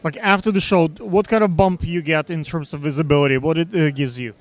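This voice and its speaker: Halting man with a Russian accent. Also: fairly high pitch. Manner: halting, many fillers and restarts. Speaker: interviewer. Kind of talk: casual interview questions. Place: backstage at a fashion show.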